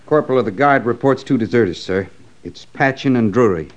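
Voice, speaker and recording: An actor playing a brisk, dutiful cavalry sergeant in a radio Western, speaking in crisp military fashion. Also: male voice, mid-range voice, lively intonation, dull audio, lightly acted. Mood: formal, reporting. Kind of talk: old-time Western radio drama acting. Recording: noisy old radio recording.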